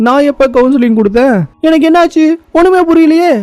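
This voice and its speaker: A man's voice: fairly high pitch, fast talker, warm tone, clean tone, natural voice. A man voicing a vain, proud young actress who is indignant and easily flustered, in a story recap. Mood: bewildered. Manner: indignant, melodramatic, self-questioning. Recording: muffled lo-fi sound with music behind.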